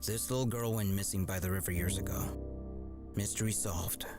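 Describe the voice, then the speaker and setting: A man playing a stern, jaded demon slayer in a visual novel, with curt, deadpan delivery. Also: deep voice, moderate pace, natural voice. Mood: grim, jaded. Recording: loud game music over voice.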